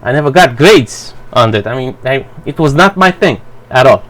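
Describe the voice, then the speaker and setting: A man whose first language is Romanian, giving a blunt, profane, halting commentary for his channel. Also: mid-range voice, expressive, a bit bright. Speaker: vlogger. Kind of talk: rambling personal commentary.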